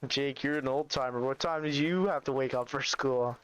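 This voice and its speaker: A man's voice: medium pitch, normal speed, dull audio, a little hiss or hum. A man, chatting casually with his livestream chat while playing a hunting game. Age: 20s. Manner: laid-back, chatty, reads and answers chat. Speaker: streamer.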